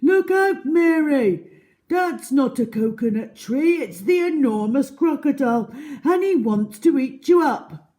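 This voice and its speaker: A big, gallant storybook hippopotamus played by a woman reader bellows urgent warnings. The voice is pushed high and loud, swooping with alarm. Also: expressive, unhurried.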